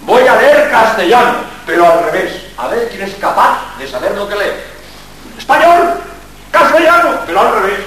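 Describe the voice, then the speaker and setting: An older Jesuit priest from Spain gives a public lecture. He jokes and fires rhetorical questions at the room in a fairly high voice. The recording is distant and heavily echoey, like a large hall. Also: moderate pace, slight background noise. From Spain, born in Barcelona. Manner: lively, joking, full of rhetorical questions. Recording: very echoey hall, mic far off.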